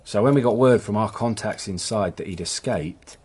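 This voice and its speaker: A hapless English petty crook in a radio drama. The voice is low and rough-edged, and he hesitates and stumbles as he makes excuses for himself. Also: normal speed, darkish tone. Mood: confessing. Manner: hesitant, stammering, self-excusing. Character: nervous petty crook, hapless henchman, an adult man. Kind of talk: British radio drama acting.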